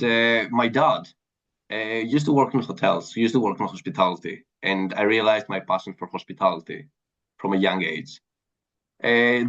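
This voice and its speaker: A man's voice: fairly low pitch, a bit bright. A man from Greece with a Greek accent, speaking earnestly as an interview guest about his career. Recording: dull lo-fi sound.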